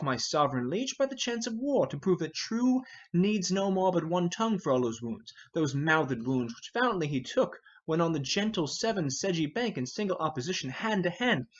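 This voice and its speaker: A man's voice: a little high, highs cut off. A man playing a hot-headed, indignant young warrior nobleman in Shakespearean verse, impetuous, scornful and declamatory. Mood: passionate protest. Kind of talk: Shakespearean verse drama reading.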